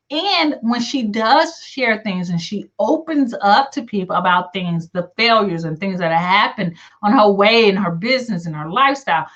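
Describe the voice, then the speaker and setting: A middle-aged woman with a country accent from the American South hosts a casual livestream. Her voice sits at an average pitch for a woman, and she talks in a folksy, encouraging, colloquial way. The audio is dull and lo-fi. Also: fairly bright tone. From southern United States. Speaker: livestream host and coach. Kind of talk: casual chat reading live comments.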